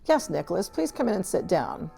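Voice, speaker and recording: Lady reading as strict older woman teacher from a storybook, measured n formal, bit of creak. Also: fairly low pitch, wildly swooping pitch, warm tone, soft background music, full character voice. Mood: formal, controlled. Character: stern, formal older female schoolteacher, firm and controlled. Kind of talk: storybook read-aloud character dialogue.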